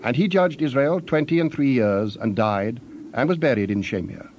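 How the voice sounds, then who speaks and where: A man narrating scripture in a solemn, measured storytelling style. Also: medium pitch, a little mellow, muffled recording, a little hiss or hum.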